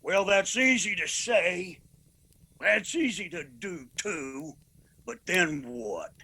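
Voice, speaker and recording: An actor playing a proud, principled and vengeful mountain clan leader in a radio drama, with an Appalachian accent. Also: male voice, high voice, expressive, slow, bright, forceful tone, slightly rough, exaggerated voice. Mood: wary, reproachful.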